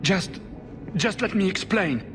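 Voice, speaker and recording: A man's voice: high voice, expressive, slow pace. A man acting a desperate, vengeful blood mage in a video game, intense, defensive and insistent. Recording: noisy, long-echoing hall.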